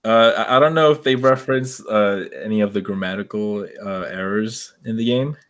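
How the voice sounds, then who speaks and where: A man co-hosting a podcast, talking casually and a bit haltingly about games and movies. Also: lowish voice. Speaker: podcast co-host.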